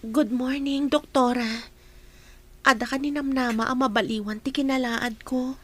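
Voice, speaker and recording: A woman's voice: high-pitched, steady tone, measured pace. A young woman acting an insecure daughter in an Ilocano radio comedy drama. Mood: pleading. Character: insecure young daughter anxious to fix her looks.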